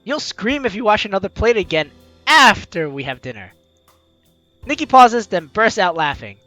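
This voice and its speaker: A video game host with an animated, teasing, exclamatory way of talking, reading aloud and commenting playfully. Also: medium pitch, moderate pace, no echo, highs cut off, faint music behind. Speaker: let's-play video host.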